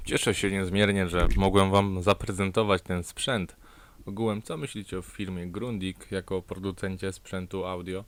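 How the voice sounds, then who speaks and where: A man talks through a casual vlog showcase in a flat, fairly low voice. He explains things in short, hesitant, unscripted phrases, with faint noise in the recording. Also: moderate pace. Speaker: host of an audio gear repair channel.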